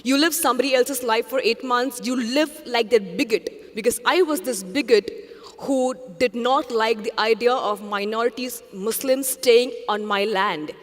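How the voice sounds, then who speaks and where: A woman's voice: on the high side, normal speed. A woman from India, answering interview questions on stage in an earnest, reflective way. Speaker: journalist, interview guest. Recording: long hall reverb.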